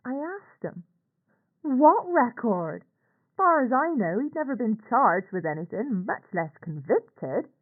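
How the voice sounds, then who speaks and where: An actress playing a middle-aged working-class aunt with a Northern English accent, confiding in a halting, colloquial way in a horror audio log, through a radio-like filter. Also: female voice, on the high side, big pitch swings, husky, heavily acted. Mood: bewildered. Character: working-class English aunt, a nurse, anxious, ashamed, recounting events. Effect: radio or telephone-like filter. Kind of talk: scripted confessional monologue for horror audio log. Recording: tinny, band-limited audio log recording.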